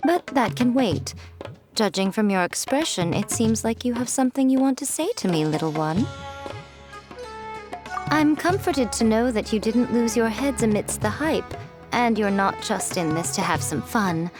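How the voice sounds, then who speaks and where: A woman voicing a sly, playful adult editor who teases others in a smooth, amused manner in a video game. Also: a little high, animated, moderate pace, music in the background, close to an everyday voice. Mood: wry. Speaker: light novel editor.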